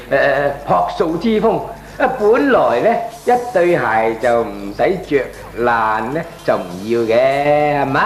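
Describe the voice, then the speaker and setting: An actor playing a mild older uncle with a hesitant, deliberate manner and a Hong Kong accent in comic radio drama. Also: male voice, on the high side, heavily acted. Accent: Hong Kong Cantonese. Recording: echoey old radio drama recording.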